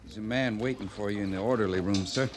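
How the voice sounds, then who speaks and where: Actor as a folksy, respectful army sergeant. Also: male voice, lowish voice, quick pace, lightly acted. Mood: dutiful. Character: dutiful frontier army sergeant reporting to captain. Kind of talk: 1950s radio western acting. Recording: noisy old radio drama recording.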